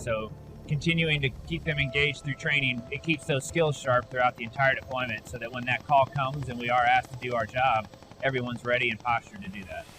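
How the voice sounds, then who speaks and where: An American man in his forties, a military officer, speaking earnestly and in measured explanations in a documentary interview. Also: medium pitch, low-quality recording.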